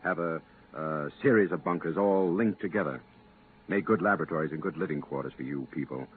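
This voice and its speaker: An actor playing a polite, persuasive visiting captain on official business, coaxing and a little hesitant, in 1950s radio drama style. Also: male voice, very deep voice, a little fast. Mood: affable, probing. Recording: tinny, band-limited, hissy 1950s radio recording.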